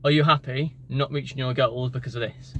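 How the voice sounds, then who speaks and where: A man's voice: mid-range voice, steady tone, brisk, fairly bright tone, dull audio. A man, a native English speaker, talking casually in a vlog about learning languages, tired after the gym and rambling with many fillers and restarts. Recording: street and car noise behind.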